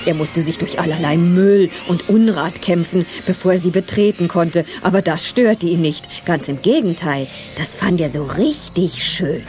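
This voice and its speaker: A woman's voice: fairly low pitch, normal speed, hardly any pauses, darkish tone, soft background music. A woman reading scripted narration for a children's audio drama as its storyteller.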